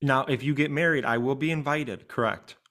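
A middle-aged man hosting a coaching interview, with a voice at an ordinary pitch for a man. He speaks earnestly and reflectively, working through his thoughts as he talks. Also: fairly quick, a bit bright. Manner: earnest and reflective, thinks aloud. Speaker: life coach and podcast host.

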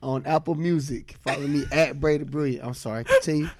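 A man's voice: a little high, a little fast, darkish tone. A man in his twenties, co-hosting a casual group chat and insisting on finishing his point. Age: 20s. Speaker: podcast co-host.

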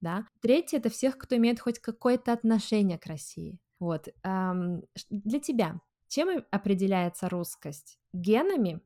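A woman's voice: mid-range voice, lively intonation, normal speed, hardly any pauses, warm tone. Curious woman hosting a podcast. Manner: curious, explanatory, reflective. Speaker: podcast host. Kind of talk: casual conversational interview.

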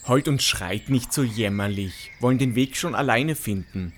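A man's voice: medium pitch, normal speed, lightly acted. Guy acting tiny clever kid in a fairy tale audio play, cheeky, birdsong noise behind. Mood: cheeky, confident. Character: tiny clever little boy, youngest brother, calm and cheeky.

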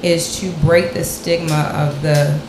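Woman, low pitch, deliberate and composed, echoey room, noisy. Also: steady tone. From Mississippi. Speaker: podcast guest, active duty military. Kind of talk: casual panel discussion. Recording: echoey room with clear background noise.

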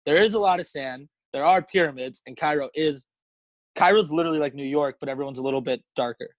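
A man's voice: on the high side, fast, no echo. Casual young American man, dry close sound. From United States and Egypt. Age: 20s. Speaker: interview guest. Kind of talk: casual conversational interview.

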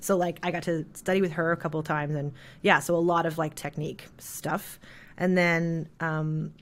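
A woman's voice: sits low, level tone, normal speed. Chatty woman with a thick voice. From Canada. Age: 30s. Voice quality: thick, heavy speaking voice. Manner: rambling, filler-heavy, laughs often. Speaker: guest, jazz singer. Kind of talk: casual interview chat.

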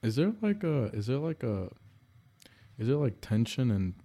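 A man's voice: mid-range voice, big pitch swings, normal speed, warm tone, dull audio. A man hosting a podcast, chatting casually with crude, joking banter. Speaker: podcast host. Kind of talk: casual chat.

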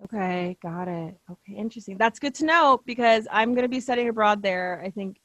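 A woman's voice: mid-range voice, fairly quick, fairly bright tone, dry recording. A young woman hosting a podcast, talking in a bubbly, playful way with slangy asides. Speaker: podcast host. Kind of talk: casual podcast interview.

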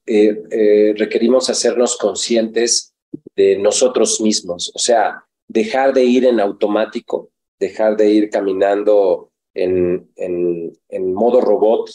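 A man's voice: fairly low pitch, dark tone, poor audio. A man, a life coach guest speaking reflectively in a conversational interview, with frequent fillers and restarts.

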